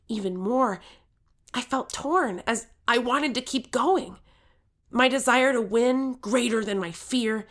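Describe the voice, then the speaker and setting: A woman's voice: fairly high pitch, fairly bright tone. A woman playing an earnest, determined thirteen-year-old contestant who narrates their own story in an audio drama. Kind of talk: scripted first-person audio drama narration.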